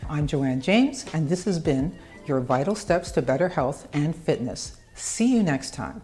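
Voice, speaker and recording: Low, mellow woman reading a fitness tip. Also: very animated, measured pace, faint music behind. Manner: upbeat, polished delivery. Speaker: sponsor fitness presenter. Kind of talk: scripted fitness tip segment.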